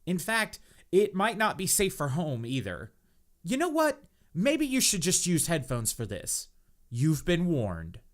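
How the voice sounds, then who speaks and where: A man's voice: fairly high pitch, wildly swooping pitch, a little slow. A young man co-hosting a comedy podcast, reading bad fan fiction aloud with mock-dramatic flair.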